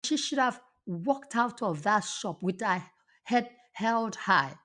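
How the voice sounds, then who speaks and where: A woman's voice: medium pitch, expressive, takes it slowly, a bit bright. A woman hosting a motivational talk, telling a personal story and reflecting on it earnestly, often restarting her words. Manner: earnest, with frequent restarts and repetitions. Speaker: motivational podcast host.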